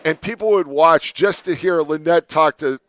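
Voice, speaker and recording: A man's voice: on the high side, normal speed, fairly bright tone. A man hosting a morning radio show, chatting casually and laughing often with his co-host. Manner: chatty, laughs often, does playful mock voices. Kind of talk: casual studio banter. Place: radio studio.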